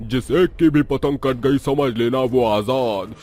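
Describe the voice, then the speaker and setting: A man voicing a gloating devil master of captive witches in a Hindi cartoon, speaking in a commanding, deliberate way. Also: medium pitch, warm tone, lightly acted. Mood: menacing, gloating. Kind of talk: Hindi cartoon story voice acting.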